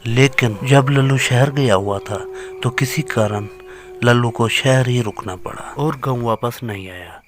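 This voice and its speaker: A man's voice: mid-range voice, a little slow, background noise. A man reading scripted horror fiction as a storyteller.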